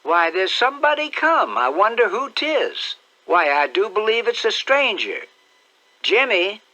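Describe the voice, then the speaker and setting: A man voicing an excitable, fussy older rural aunt with shrill, scolding exclamations. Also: a little slow, heavily acted. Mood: excited.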